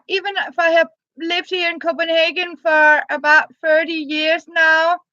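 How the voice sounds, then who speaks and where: Live-stream host: Danish woman, very high bright voice, flat unhurried delivery, chatty and halting, dull lo-fi audio. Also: dry recording. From Jutland, Denmark. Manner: halting, restarts words, reads viewer comments aloud. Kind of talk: casual live-stream chat.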